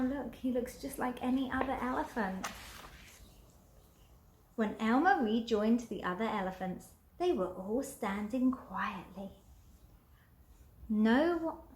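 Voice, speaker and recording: A woman with a slightly high voice reads a picture book to children. Her delivery is expressive and very unhurried, with questions put straight to the young listeners. The room around her adds a noticeable echo. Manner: slow, deliberate, asks the listener questions. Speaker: children's storybook reader.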